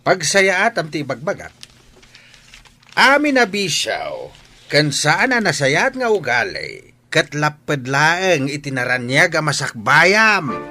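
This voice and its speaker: An actor playing an earnest elderly father in a radio drama, admonishing his son with drawn-out, emphatic delivery. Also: fairly high pitch, wildly swooping pitch, pushed and bright, exaggerated voice. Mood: stern pleading. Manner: slow, drawn-out, emphatic preaching.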